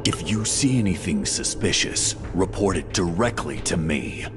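An actor playing a cold, commanding dark overlord in a game, stern and menacing. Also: male voice, low voice, lively intonation, normal speed, music in the background. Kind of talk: dramatic game cutscene acting. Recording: long cavernous reverb.

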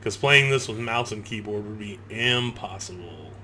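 A man's voice: lowish voice, moderate pace, sharp tone, a little hiss or hum. A man doing casual let's play commentary, rambling with heavy swearing and sarcasm. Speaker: gaming video host. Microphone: close gaming microphone. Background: game audio.

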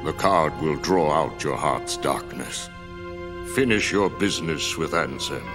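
A cryptic cloaked stranger, very deep. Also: male voice. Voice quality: deep gravelly voice. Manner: cryptic, measured, solemn. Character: mysterious cloaked older man, cryptic. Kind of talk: video game voice acting. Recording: game audio with loud music underneath.

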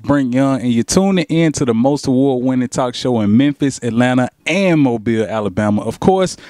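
A man's voice: mid-range voice, darkish tone. A young man hosting a talk show, speaking in a hyped, promotional way with casual slang into a handheld mic. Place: small studio set with couch.

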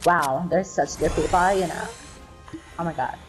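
Casting: woman gamer, lowish pitch, sassy mock-offended valley-girl banter, music playing behind. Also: moderate pace, poor audio. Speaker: player in online squad game. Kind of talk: casual game voice chat banter. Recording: game voice chat, music behind.

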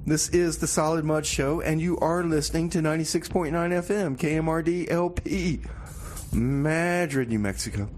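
A man's voice: fairly high pitch, normal speed, a little mellow, a little hiss or hum. A man hosting a community radio show with casual, comedic DJ patter, rambling in a folksy way and repeating his phrases.

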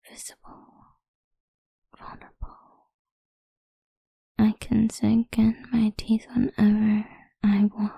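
A woman playing a possessive, seductive goth vampire idol in an intimate, breathy ASMR whisper, close to the microphone. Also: medium pitch, completely flat delivery, slow pace, pauses often, mellow, dry recording, exaggerated voice. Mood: possessive, hungry.

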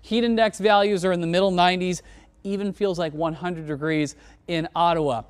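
A man in his thirties presenting a TV weather forecast in a studio, talking in a relaxed, conversational way on a lapel mic. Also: high-pitched, fairly quick, smooth. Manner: relaxed, conversational delivery with casual words. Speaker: TV meteorologist. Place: TV studio in front of weather graphics.

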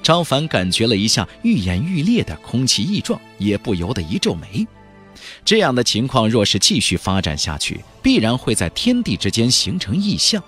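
A man's voice: mid-range voice, wildly swooping pitch, normal speed, fairly bright tone, soft background music. A man narrating a fantasy novel as a dramatic scripted audiobook.

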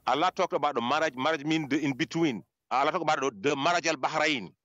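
A man's voice: a little high, fast talker, fairly bright tone, dry recording, muffled recording. A man arguing as a caller in an online debate, talking over the host, while walking in a parking lot. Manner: argumentative, talks over others.

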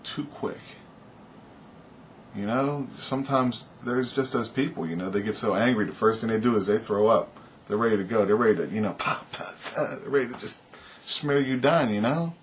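A man in his thirties vlogging from his couch, rambling earnestly with a loud voice and lots of "man" and "you know". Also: mid-range voice, normal speed, a little hiss or hum. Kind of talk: casual opinion vlog to camera. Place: small indoor room, seated on couch.

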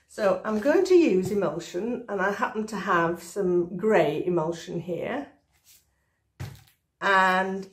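An older woman giving a chatty, practical painting tutorial from a small room at home. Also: medium pitch. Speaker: art tutor.

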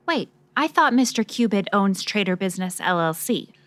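A woman's voice: mid-range voice, expressive, moderate pace, fairly bright tone, natural voice. Wry, skeptical lady reporter acted by a woman. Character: plucky, skeptical female investigative reporter. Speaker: investigative reporter character. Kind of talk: scripted noir radio drama dialogue.

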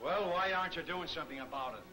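An actor playing an impatient, demanding, indignant city mayor in a 1940s film serial. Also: male voice, on the high side, fast, bright, forceful tone, rough recording. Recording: old 1940s film soundtrack, music behind.

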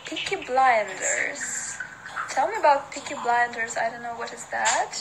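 A woman's voice: fairly high pitch, unhurried, vocal fry, very noisy. A young woman from Russia with a Russian accent, casually chatting with viewers and reading out their comments on a phone livestream from her living room. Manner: relaxed, chatty, laughs often, answers comments one by one. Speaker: model livestreaming to fans. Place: living room of an apartment, indoors. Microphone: phone at arm's length. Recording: phone livestream with music behind. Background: music playing.

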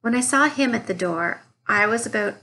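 A careful female witness played by a woman in an audio courtroom drama. Her voice is husky and creaky, and she answers plainly. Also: on the high side, lively intonation, moderate pace, pushed and bright, full character voice, low-quality recording.

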